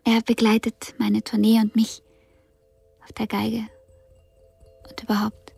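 An actress playing a cool, wry young concert pianist in a period radio drama, soft-spoken and guarded, with a breathy voice. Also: female voice, a little high, monotone, a little slow, no room sound, full character voice. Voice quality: breathy, husky with creak. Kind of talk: German radio drama acting.

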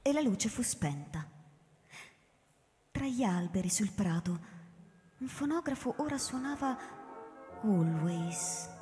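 A woman's voice: medium pitch, lively intonation, slow pace, long pauses, warm tone. A woman narrating a radio drama as dramatic scripted storytelling. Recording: reverberant, music underneath.

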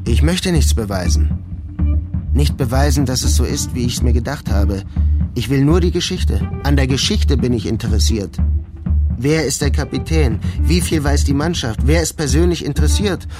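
A man's voice: mid-range voice, lively intonation, normal speed, lightly acted. A man voicing a German journalist in a radio drama, terse, insistent and full of short probing questions. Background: music.

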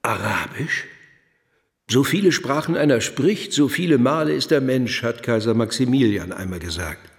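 A man plays an erudite, pompous publisher in a radio drama. His voice is medium in pitch and rings in a reverberant hall. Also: lively intonation, normal speed, close to an everyday voice. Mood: musing. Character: cultured, quotation-loving gentleman publisher. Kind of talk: radio play acting.

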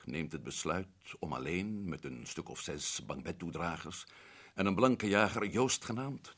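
A seasoned hunter home from the African jungle, husky and low, his voice rising and falling widely as he presses an urgent, emphatic case. Radio drama delivery. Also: full character voice. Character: adventurous male hunter back from Africa. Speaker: radio play actor. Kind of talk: 1960s radio drama acting.

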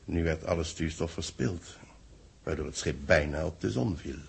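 Gravelly, low-voiced formal worried official. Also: male voice, heavily acted. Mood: grave, pondering. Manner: measured, formal, deliberate. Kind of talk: 1960s Dutch radio play acting. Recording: muffled-edged vintage 1960s radio recording.

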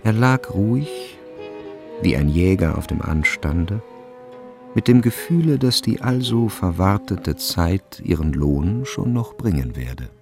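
A man narrating a literary radio drama in a measured, scripted delivery. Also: deep voice, very animated, creaky, over music.

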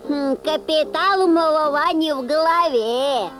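A woman's voice: high-pitched, unhurried, a bit bright, soft background music. A woman playing a simple peasant mother who puts on airs, with a rustic, sighing, doubtful manner. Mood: humbly proud.

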